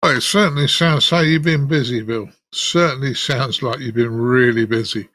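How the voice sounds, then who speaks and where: A man's voice: medium pitch, normal speed, fairly bright tone, dry recording. A man chatting casually as the host of a podcast. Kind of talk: casual chat.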